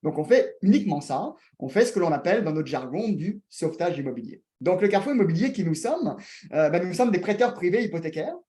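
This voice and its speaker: A man hosting a real estate webinar over a video call, speaking lively and addressing his audience directly with questions. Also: high-pitched, fast talker. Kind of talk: presenting to an online audience.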